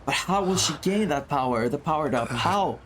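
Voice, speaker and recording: A man's voice: a little high, animated, moderate pace, a bit bright, a little hiss or hum. A man streaming a story game playthrough, reacting emotionally as he plays. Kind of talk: casual playthrough commentary.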